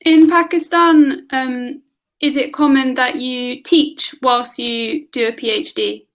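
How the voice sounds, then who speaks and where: A woman's voice: high voice, fairly even intonation, slow pace, a bit bright. A woman from England, tutoring over a video call in casual conversation, asking lots of questions and laughing often. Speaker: online English tutor.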